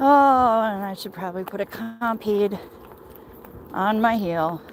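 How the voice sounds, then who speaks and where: A woman's voice: medium pitch, a little slow, slight background noise. An American woman chatting casually and in a rambling way with livestream viewers, answering comments as she walks outdoors. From Michigan, United States. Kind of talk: casual livestream chat while walking.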